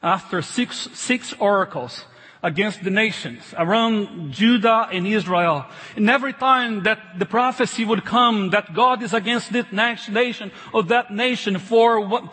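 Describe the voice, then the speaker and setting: A middle-aged man with a foreign accent, not a native English speaker, preaches a sermon as a pastor in an emphatic, halting way, repeating phrases. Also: high voice, bright, forceful tone, husky. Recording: reverberant large hall.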